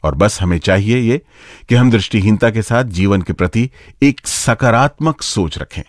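Animated male radio host, dry close sound. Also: fairly low pitch, normal speed, no echo. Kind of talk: scripted radio presenting with playful asides.

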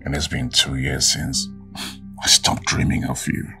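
An actor playing a grieving man who softly recalls a lost love in a slow, half-whispered reflection. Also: very deep voice, flat delivery, vocal fry, recorded from a distance, faint music behind, heavily acted. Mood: wistful.

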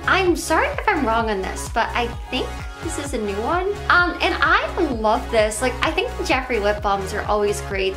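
A young woman vlogging a makeup haul to camera in a small bedroom, gushing and filler-heavy, her voice slightly strained. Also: on the high side. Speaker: beauty vlogger. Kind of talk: casual product showcase to camera. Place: small bedroom with plush toys, indoors.

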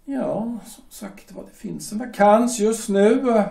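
An actor playing a shipyard director who talks in a measured, hesitant way with drawn-out pauses. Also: male voice, high voice, gravelly, mellow, recorded from a distance. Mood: cool, probing. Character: smooth, veiled-threatening male shipyard director. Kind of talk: amateur audio drama acting. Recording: roomy echo, voice set back from mic.

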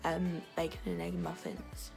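A young woman with a hoarse, gravelly voice from losing it, chatting casually through a home vlog while filming on her phone at arm's length. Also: low voice, very animated, measured pace. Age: young adult. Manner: chatty, narrating as she goes. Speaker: vlogger. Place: home, indoors. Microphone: phone held at arm's length. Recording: music playing over voice.

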